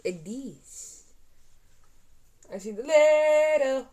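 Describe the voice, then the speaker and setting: A woman's voice: sits high, lively intonation, extremely slow, warm tone. A woman chatting casually to her live-stream viewers while repairing a console, talking to herself as she works.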